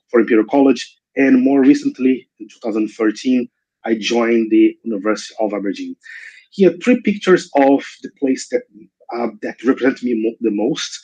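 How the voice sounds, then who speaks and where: Guest lecturer, Brazilian man, mixed accent, halting delivery, online call. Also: mid-range voice, a little mellow, slightly rough, poor audio. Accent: mixed Brazilian, British and American English. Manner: hesitant, many restarts and fillers. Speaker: invited guest speaker. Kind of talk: online seminar talk. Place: video call.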